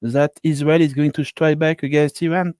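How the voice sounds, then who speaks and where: A man with a fairly high voice takes part in a panel discussion. He makes analytical points in halting English with non-native phrasing. The sound is very dry. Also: flat delivery, a little mellow, no echo. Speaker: panel participant in live open mic.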